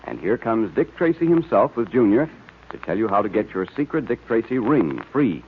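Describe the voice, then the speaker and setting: An American man announcing on a 1940s radio show in an emphatic, enthusiastic sales style with a husky voice. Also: lowish voice, big pitch swings, moderate pace. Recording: tinny vintage radio recording.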